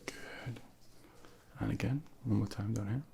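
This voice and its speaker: A man speaking softly and breathily in an ASMR medical role play as a junior doctor, gently reassuring and giving step-by-step instructions into a close binaural microphone. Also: fairly low pitch, steady tone, measured pace, a little hiss or hum. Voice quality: breathy, whispery, with heavy creak.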